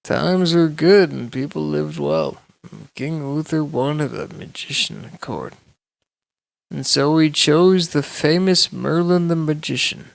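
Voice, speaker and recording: A man narrates a bedtime story in a very creaky, gravelly voice. He takes his time, with measured, dramatic phrasing and a pitch that swings widely up and down. The sound is dry and close. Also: big pitch swings, no room sound, rough recording. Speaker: storyteller reading aloud.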